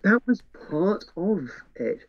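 A man's voice: sits high, very animated, slow, muffled recording. A man co-hosting a casual book chat, retelling plots and acting out characters' lines as he explains. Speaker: podcast co-host.

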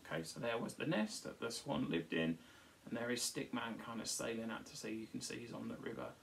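A man in his thirties or forties reading a picture book aloud to children, pausing to explain it simply. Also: medium pitch, gravelly, fairly bright tone, a little hiss or hum. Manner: frequently checks with "okay", explanatory. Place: small furnished room at home. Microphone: device camera at about arm's length.

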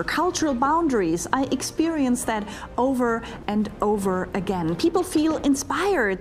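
A middle-aged woman, a keynote speaker and leadership coach, talking to camera in a scripted promotional monologue with emphatic, deliberate delivery and dramatic pauses, recorded in a studio on a lapel mic. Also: high-pitched. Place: studio before a green screen, edited onto a virtual ship deck. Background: faint music bed.

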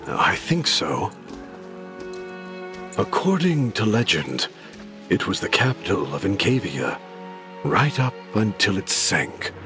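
An actor playing a learned monastery elder in a video game, measured and thoughtful. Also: male voice, sits low, big pitch swings, exaggerated voice. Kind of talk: video game character acting. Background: music.

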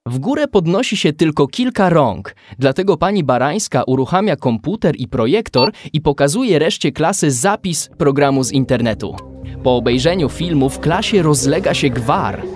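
A man acting as the narrator of a children's adventure radio play. His voice sits a little high for a man. He tells the story as scripted narration over faint music. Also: lively intonation, moderate pace, lightly acted. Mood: storytelling. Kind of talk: scripted audio drama narration.

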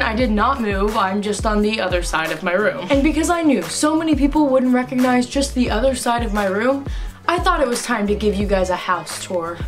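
A teenage girl giving a chatty, self-deprecating house tour of her family home, speaking to a camera in front of her. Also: on the high side. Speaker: vlogger. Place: bedroom and rooms of a family home, indoors. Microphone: camera in front, handheld at times. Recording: music playing under the voice. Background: background music.

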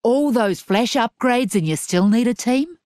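A woman's voice: on the high side, animated, unhurried, darkish tone, no room sound, clean studio sound. An actress playing a brash, mocking middle-aged female rebel fighter in a video game. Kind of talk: video game character voice lines.

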